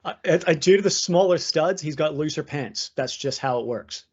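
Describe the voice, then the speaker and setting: A man with an Australian accent co-hosting a sports podcast, making dry, deadpan quips. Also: on the high side, moderate pace, slightly rough, dull audio. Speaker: podcast co-host.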